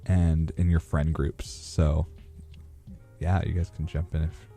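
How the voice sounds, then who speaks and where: A young adult man hosting a podcast, asking questions casually and haltingly, often restarting his phrases. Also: extremely low pitch, flat delivery, dark tone, soft background music. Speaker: podcast host. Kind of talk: casual unscripted interviewing.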